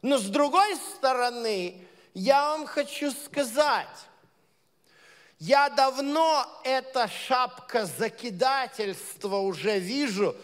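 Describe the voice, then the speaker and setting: A man's voice: very high-pitched, fairly bright tone. A man leading his congregation in an informal sermon, talking conversationally with many hesitations and building to emphatic points. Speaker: leader of a Messianic congregation. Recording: long reverb of a large hall.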